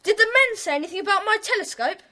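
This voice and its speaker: A woman's voice: very high voice, animated, fast, sharp tone, heavily acted. A woman playing an earnest, somewhat plaintive schoolboy in a children's audio drama. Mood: disappointed. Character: Jack, earnest schoolboy club member.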